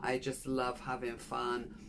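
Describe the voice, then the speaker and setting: A woman's voice: very deep voice, completely flat delivery, takes it slowly, background noise. A woman, frankly telling her own story to camera in a personal vlog and urging viewers on. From Britain. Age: 60s. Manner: frank, earnest, encouraging. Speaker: channel host.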